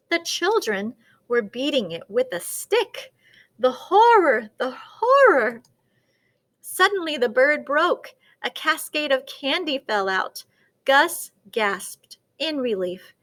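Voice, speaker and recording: High-voiced, animated woman reading a children's story. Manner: dramatic, deliberate storytelling. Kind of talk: expressive children's picture-book read-aloud.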